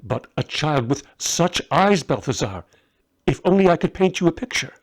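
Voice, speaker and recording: A man's voice: a little high, sing-song, moderate pace, pitched above the performer's own voice. A man as Melchior, a hesitant visionary magus. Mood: anxious wonder. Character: self-doubting male magus, visionary and humble leader.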